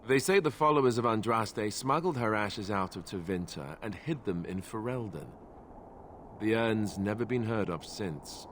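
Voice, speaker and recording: A young male warrior companion in a fantasy video game, with a slightly low voice. He talks casually, with wry self-deprecation. There is clear hiss behind him. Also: expressive, moderate pace, fairly bright tone. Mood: explanatory. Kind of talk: video game dialogue acting. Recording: hissy, noisy game audio.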